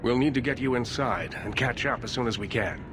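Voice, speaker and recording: A man's voice: medium pitch, a little fast, natural voice. A man playing a proud, dignified mage leader in a video game, with a formal, pointed manner. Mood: urgent, reasoning. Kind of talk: video game cutscene acting. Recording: clear background ambience noise.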